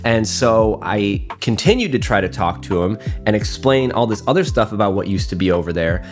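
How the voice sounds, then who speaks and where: A man's voice: fairly low pitch, animated, normal speed. A man with a cold and a nasal voice, rambling through a casual, self-deprecating vlog voiceover. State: congested from a cold. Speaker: photography vlogger. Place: voiceover recorded indoors. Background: guitar music.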